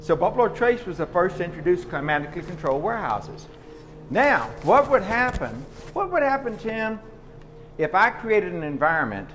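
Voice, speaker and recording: A middle-aged man explains things in a folksy way, answering his own questions as he leads a tour. His voice sits slightly high. It is caught on a phone in a vast warehouse with a long reverb tail and faint noise. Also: a bit bright. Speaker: distillery tour guide. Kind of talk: guided warehouse tour on a live stream. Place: large indoor barrel warehouse and walk-in cooler. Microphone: phone camera held by someone walking with him.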